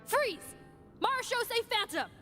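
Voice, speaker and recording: An actress playing a firm, self-assured law officer in a video game. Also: female voice, very high voice, sing-song, slow pace, heavily acted. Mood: commanding. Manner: authoritative, firm. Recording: background music.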